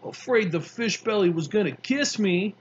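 A man's voice: sits high, moderate pace, dull audio, a little hiss or hum, pitched above the performer's own voice. A man voicing a brash, streetwise young boy who talks in slangy, boastful bursts. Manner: slangy, boastful, excitable storytelling.

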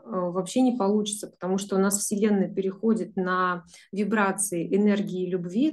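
A woman's voice: mid-range voice, a little mellow. A woman in her forties, a business psychologist, earnestly explaining to a group over a video call, with many fillers. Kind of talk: online group talk, explaining.

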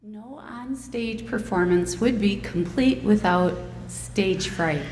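A woman's voice: mid-range voice, takes it slowly, darkish tone, faint music behind. A woman making a scripted stage announcement to introduce a show. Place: indoor school stage hall. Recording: echoey hall with faint noise.

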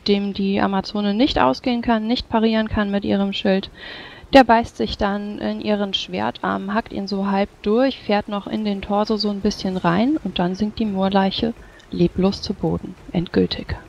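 Creaky-voiced woman gamer, dull recording. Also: mid-range voice, steady tone, moderate pace, darkish tone, a little hiss or hum. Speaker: tabletop game player. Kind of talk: casual game talk, describing her combat actions. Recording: dull lo-fi voice-chat sound, faint music.

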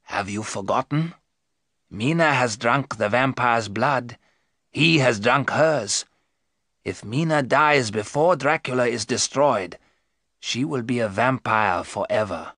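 A man voicing a grave, learned older professor and vampire hunter, speaking emphatically and deliberately in an audiobook story. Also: medium pitch, pushed and bright, no echo, clean studio sound, full character voice. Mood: grave warning.

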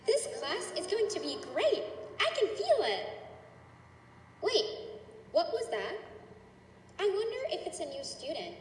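A woman's voice: extremely high pitch, sing-song, unhurried, pauses often, heavily acted. A woman voicing a cheerful but menacing cartoon schoolteacher, sugary and condescending as she addresses a class. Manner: sugary, gushing, condescending with veiled threats. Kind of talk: character voice acting, addressing a class. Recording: roomy, distant, echoing with noise.

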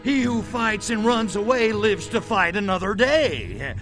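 Brash alien invader for a game cutscene: American, sardonic, highish swinging pitch, drawn-out delivery. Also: male voice, animated, fairly bright tone, faint music behind. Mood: scheming, exasperated. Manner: sardonic, slangy American wise guy. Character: brash, wisecracking, cynical male alien invader. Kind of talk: video game cutscene acting.

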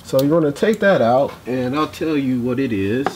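A man's voice: medium pitch, animated, normal speed, background noise. A young man in a casual how-to vlog, talking through a car repair with lots of ums and restarts while he works outdoors at night. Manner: rambling, many ums and restarts. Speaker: DIY car repair vlogger. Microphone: camera mic, moves around while working.

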